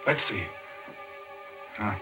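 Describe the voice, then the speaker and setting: A man's voice: slow, highs cut off, over music, natural voice. An actor playing a shrewd, dry, brooding man in a 1940s radio crime drama. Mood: grim, brooding.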